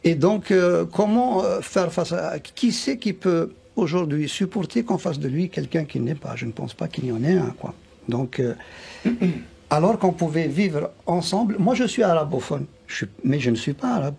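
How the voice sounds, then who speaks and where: A man with a gravelly, husky voice that is dark and mellow in tone. He argues his point on a televised panel, leaning on rhetorical questions. His pitch sits a bit high for a man. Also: expressive, moderate pace.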